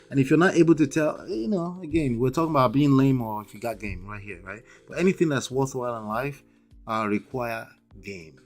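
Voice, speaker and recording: Emphatic Nigerian-accented man, livestream host, lecturing tone, middle pitch, faint music behind. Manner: emphatic, lecturing, asks "okay?" and "right?" often. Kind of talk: live-stream commentary.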